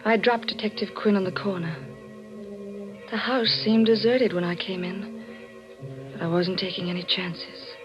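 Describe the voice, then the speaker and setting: A woman's voice: medium pitch, steady tone, normal speed, long pauses, lightly acted. An actress playing an anxious but determined wife who suspects her husband, earnest and dramatic in a 1940s radio mystery. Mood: fearful, suspenseful. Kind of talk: 1940s radio mystery acting, first-person dramatic narration. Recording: muffled old radio recording with music.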